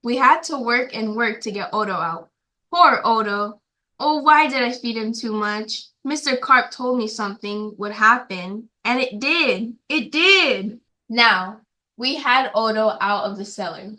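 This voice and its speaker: Lady doing kids storytime, bright high voice, really expressive, talks right to the little listeners. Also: unhurried. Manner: expressive, emphatic, addressing young listeners with questions. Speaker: children's storytime host reading aloud. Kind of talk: animated read-aloud storytelling for children.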